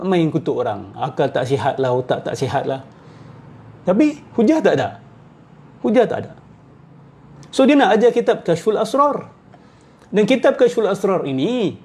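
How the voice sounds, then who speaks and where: A man's voice: sits high, warm tone. A middle-aged man giving off-the-cuff, argumentative religious commentary, repeating his phrases and firing rhetorical questions.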